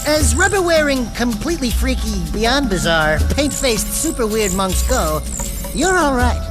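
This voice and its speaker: An actor playing a small, cocky, manic animal sidekick in a video game, brash and jokey. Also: male voice, very high-pitched, big pitch swings, unhurried, heavily acted. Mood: snarky. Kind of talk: cartoonish video game acting. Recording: loud music behind the voice. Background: loud music.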